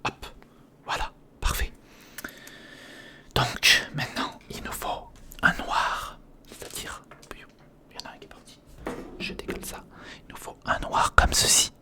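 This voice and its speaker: A man's voice: a little slow, long pauses. Whispering man doing informal ASMR. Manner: informal, thinking aloud, repeating words softly. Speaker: ASMR video creator. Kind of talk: whispered ASMR, narrating a hands-on build. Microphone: close recorder or studio microphone.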